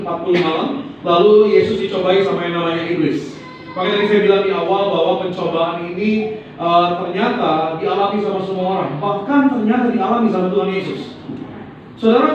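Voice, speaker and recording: High-voiced male pastor preaching in an echoey church. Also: a little mellow, a little hiss or hum. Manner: call-and-response, prompting the congregation to repeat and say amen. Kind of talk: sermon. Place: church sanctuary. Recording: echoey church hall, distant dull mic.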